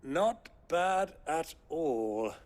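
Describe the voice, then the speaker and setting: A man's voice: on the high side, expressive, very slow, darkish tone, slight background noise. An actor voicing a crafty older British nobleman in a video game, with pompous, florid, sly diction. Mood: smooth bargaining.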